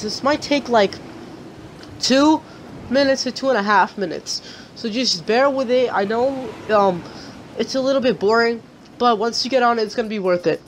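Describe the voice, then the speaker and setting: A sick gamer with a sore throat gives a raspy, rambling walkthrough of a game glitch. The voice sits at a middling pitch and carries a faint hiss of background noise. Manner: rambling, chatty explaining. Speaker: gaming channel host. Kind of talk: gameplay tutorial commentary.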